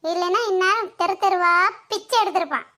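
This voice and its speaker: Young cartoon daughter-in-law, extremely high voice, piercing, Tamil accent, excitable, whiny, boastful. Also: a little fast. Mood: complaining. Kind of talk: comic cartoon parody of a TV soap.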